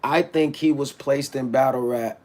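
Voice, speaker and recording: A man's voice: medium pitch, fairly even intonation, moderate pace, a little mellow. A man on a livestream who rants bluntly and animatedly, restarting his words as he answers his chat. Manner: talks back to his chat. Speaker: battle rapper streaming to his chat. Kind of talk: casual livestream rant.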